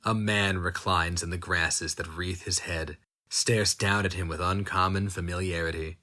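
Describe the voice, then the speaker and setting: A man giving a slow, intense dramatic reading of a dark fantasy story. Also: low-pitched, steady tone. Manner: slow, brooding, intense delivery. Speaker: narrator.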